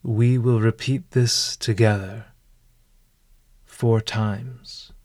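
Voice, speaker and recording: A man narrating a guided meditation in a formal, measured, literary manner. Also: on the low side, fairly even intonation, darkish tone, dry recording, studio quality.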